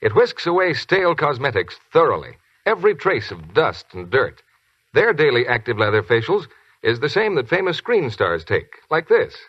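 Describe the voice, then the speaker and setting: Husky 1940s radio announcer man. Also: mid-range voice, very animated, moderate pace, a bit bright, no room sound. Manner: smooth, persuasive. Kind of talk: scripted commercial announcing. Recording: dry, muffled 1940s radio broadcast.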